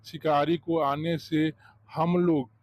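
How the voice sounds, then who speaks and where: A man's voice: a little high, little pitch movement, slow, a little mellow. A man voicing a worried little mouse in a scripted cartoon animal fable. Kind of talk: scripted cartoon story dialogue.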